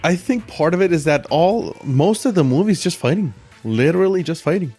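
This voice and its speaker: Slightly high-pitched man in casual chat. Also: expressive, fairly quick, dark tone, breathy edge, soft background music. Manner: informal, conversational. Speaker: podcast co-host.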